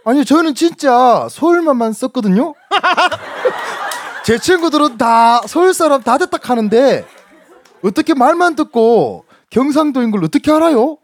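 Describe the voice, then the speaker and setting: A man's voice: extremely high pitch, unhurried, dry recording. A man with a Gyeongsang Korean accent, reading listener stories on a radio show and laughing often as he chats. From Gyeongsang region, Korea. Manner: animated storytelling, laughs often. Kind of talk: casual radio chat.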